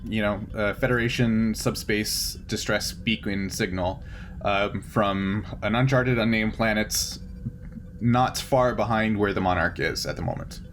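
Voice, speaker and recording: A man's voice: fairly low pitch, measured pace, a bit bright, a little hiss or hum. A man running a tabletop game, narrating off the cuff in an informal, easygoing, explanatory way. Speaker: game master.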